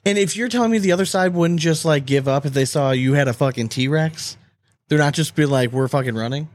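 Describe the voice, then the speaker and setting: A man's voice: on the high side, a little fast, dry recording, studio quality. A man hosting a podcast in casual chat, slangy and prone to swearing. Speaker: podcast host.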